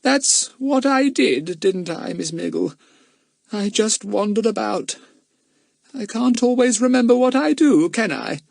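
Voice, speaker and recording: A man voicing a nervous, forgetful, gentle older gentleman in a children's audiobook, hesitant and seeking reassurance. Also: high voice, lively intonation, measured pace, gravelly, no room sound, studio quality, pitched above the performer's own voice, heavily acted. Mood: flustered, forgetful. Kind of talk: acted children's audiobook dialogue.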